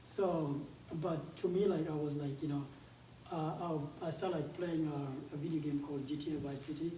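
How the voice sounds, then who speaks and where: Mellow South Sudanese man, echoey room. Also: on the high side, fairly even intonation. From South Sudanese, grew up in a refugee camp in Uganda. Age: 20s. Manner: halting, conversational, with frequent repeated words. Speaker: game developer and startup founder, guest speaker. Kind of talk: informal conference presentation. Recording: echoey room, distant mic, background noise.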